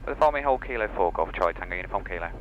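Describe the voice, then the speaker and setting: A man's voice: low voice, animated, rapid-fire, lo-fi sound, noisy background. A man piloting a light aircraft, switching between casual cockpit chat and radio calls.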